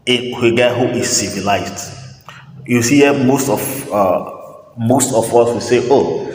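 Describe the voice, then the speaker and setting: A man hosting a talk, speaking his opinions in an emphatic, preachy monologue, repeating phrases and pausing on fillers. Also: mid-range voice, slight background noise. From Nigeria. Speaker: host. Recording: roomy echo with long reverb, faint ambience.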